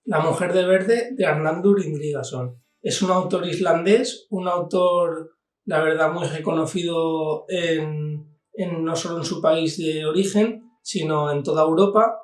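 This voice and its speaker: A man's voice: on the high side, fairly even intonation, a little slow. A man with an accent from Spain, giving an informal book review as a channel host. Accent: Spanish from Spain. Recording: echoey room, distant mic, music behind.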